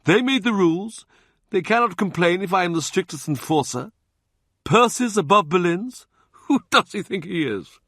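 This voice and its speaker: A man's voice: high voice, expressive, normal speed, sharp tone, dry recording, clean studio sound, voice pushed up, full character voice. A man voicing a domineering, scornful older cardinal who mocks and bullies as he speaks. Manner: mocking, bullying, theatrical.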